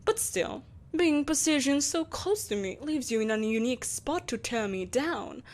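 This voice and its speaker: A woman's voice: sits high, animated, normal speed. A woman playing an imperious, seductive evil empress in a scripted roleplay audio drama, speaking in a haughty, theatrical, coaxing manner. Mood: coaxing, taunting. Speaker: voice actor.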